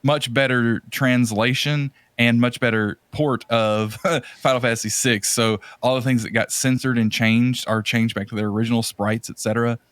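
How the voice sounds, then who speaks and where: A man's voice: mid-range voice, steady tone, normal speed, a bit bright, no room sound. A man co-hosting a podcast in a casual, opinionated and rambling conversational style. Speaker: podcast co-host. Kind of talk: casual chat about video games.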